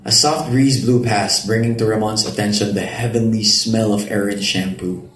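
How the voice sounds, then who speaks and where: A man's voice: on the low side, steady tone, moderate pace, a bit bright. A man narrating a romance story in a live dramatic reading. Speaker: narrator reading a novel excerpt. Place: roomy event venue at a public reading. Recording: echoey live venue, audible background noise.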